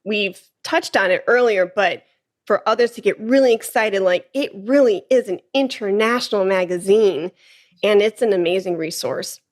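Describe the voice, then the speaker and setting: A woman's voice: medium pitch, moderate pace. Woman, podcast host, casual interview, upbeat, chatty. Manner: enthusiastic, warm affirmations.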